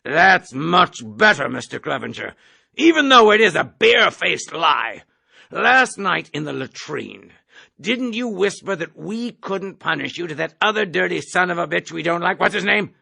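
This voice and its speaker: A man's voice: high voice, big pitch swings, moderate pace, no room sound, clean studio sound, voice pushed up, exaggerated voice. A man reading an audiobook, voicing a bloated, bullying army colonel who bellows and browbeats. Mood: hectoring. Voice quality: gravelly raspy roar. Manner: hectoring, shouting, browbeating. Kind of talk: audiobook character voice.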